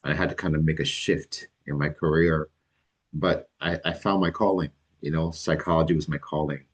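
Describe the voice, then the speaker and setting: Middle-aged man, extremely low voice, relaxed and hesitant, lots of ums. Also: breathy edge, highs cut off. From Barbados. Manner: halting, many ums and restarts, relaxed. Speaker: guest, licensed mental health counselor. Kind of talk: casual interview answers.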